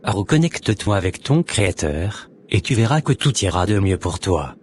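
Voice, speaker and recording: Man acting low-voiced solemn devout convert, noisy recording. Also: sing-song, normal speed, darkish tone. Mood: solemn, exhorting.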